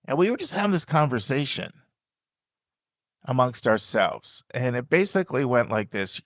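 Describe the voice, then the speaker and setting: A man hosting a podcast in a loud, emphatic, conversational way, often turning aside to check in with the listener. His voice sits in an ordinary middle register. The recording is close and dry, with no room sound. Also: mid-range voice, sing-song, moderate pace, no room sound. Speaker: podcast host and college admissions advisor. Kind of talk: casual podcast monologue.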